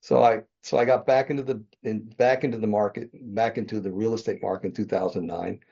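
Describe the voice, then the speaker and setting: An older man in his sixties talks through his past in a loose, meandering way, often stopping to correct himself. His voice is low, dark and a little husky. The podcast audio sounds dull and lo-fi. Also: steady tone, quick pace. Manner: rambling, self-correcting, chuckles often. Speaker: podcast guest, real estate developer. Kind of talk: conversational interview storytelling.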